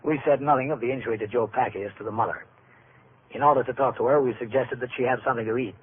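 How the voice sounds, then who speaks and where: Actor as male police captain, authoritative, matter-of-fact, radio crime drama, medium pitch, tinny sound. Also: close to an everyday voice. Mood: flat narration. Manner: measured, matter-of-fact questioning. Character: steady, authoritative police captain in charge of a precinct. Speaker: actor playing captain and narrator. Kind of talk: old-time radio police drama acting. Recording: tinny, noisy vintage radio recording.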